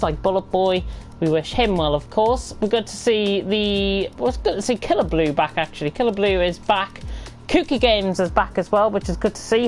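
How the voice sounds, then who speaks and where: A woman commentating live on an online racing league, croaky with a flu and rambling with frequent self-corrections. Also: medium pitch. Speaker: race commentator.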